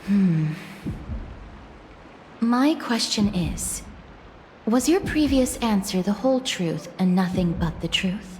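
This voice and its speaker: A woman's voice: medium pitch, lively intonation, slow pace, long pauses, darkish tone, a little hiss or hum. A woman playing a polite, guarded young goddess of death in a video game, speaking formally and earnestly. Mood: firm, guarded. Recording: long hall-like echo, music underneath. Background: game music.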